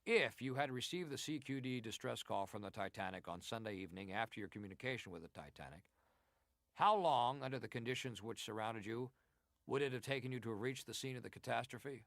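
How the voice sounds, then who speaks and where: An actor playing a senator who questions a witness formally and deliberately in a dramatized historical hearing. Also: male voice, medium pitch, animated, a bit bright, no echo, studio quality. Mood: formal, probing. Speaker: actor reading hearing testimony.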